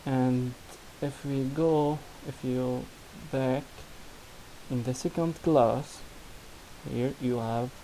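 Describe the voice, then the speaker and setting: Slow, halting Syrian Arabic-accented man. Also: mid-range voice, fairly even intonation, warm tone, a little hiss or hum, low-quality recording. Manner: halting, many restarts and fillers, non-native grammar. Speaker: student presenting a project. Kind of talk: screen-recorded software demo.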